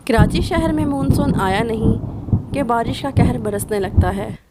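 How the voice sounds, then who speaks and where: A woman's voice: fairly high pitch, lively intonation, normal speed, heavy background noise. A woman giving scripted narration for a children's cartoon story.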